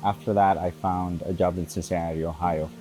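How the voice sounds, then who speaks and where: A man talks in a relaxed, conversational way. His low voice sits over clearly audible guitar music. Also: a little fast. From Honduras. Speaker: engineer. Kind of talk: documentary interview.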